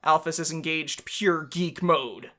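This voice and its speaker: A woman's voice: sits low, measured pace, bright, forceful tone. A woman playing a visual novel, reading the narration aloud and chatting playfully as she goes. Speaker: game streamer, narrator and voice reader. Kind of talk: playful let's play with read-aloud narration.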